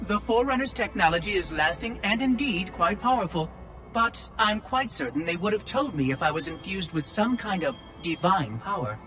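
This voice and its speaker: A man's voice: high-pitched, expressive, normal speed, soft background music, poor audio. An actor voicing a curious, condescending ancient male machine caretaker in video game dialogue. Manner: prying, quietly condescending.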